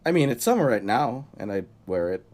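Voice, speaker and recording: A man's voice: mid-range voice, very animated, a little fast, a little mellow. A young man co-hosting a casual podcast, talking through a cold in a rambling way and chuckling often. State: has a cold. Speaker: podcast co-host.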